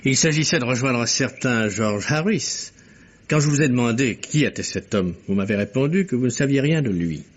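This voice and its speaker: An actor playing a probing, sceptical investigator with dry irony in a vintage French radio mystery, asking clipped, wry questions. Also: male voice, medium pitch, lively intonation, moderate pace, lightly acted. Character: probing, sceptical male investigator, dryly ironic. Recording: dull vintage radio recording.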